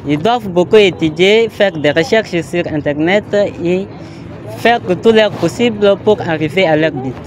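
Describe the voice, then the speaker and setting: A teenage boy, a top exam student, answering interview questions in an earnest, measured way. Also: high voice, a crowd in the background. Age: late teens.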